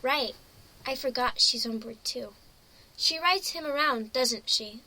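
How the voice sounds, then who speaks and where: A woman voicing an emotional, excitable young female pony in a dramatic reading of a fan fiction story. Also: high voice, a little slow, full character voice, poor audio. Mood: dejected, questioning.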